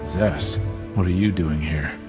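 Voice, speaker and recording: A loyal military pilot officer for a game cutscene. His voice sits fairly low and he speaks earnestly and with pride, with music playing behind him. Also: slow pace. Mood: weary, rueful. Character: grateful, proud starfighter squadron officer, stubborn and loyal. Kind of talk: video game cutscene acting.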